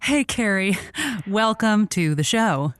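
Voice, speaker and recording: A woman's voice: mid-range voice, lively intonation, takes it slowly, no echo. A woman playing an eager, inexperienced late-night radio host who rambles and stammers with chirpy politeness in an audio comedy. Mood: nervous, welcoming. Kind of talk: audio comedy drama acting.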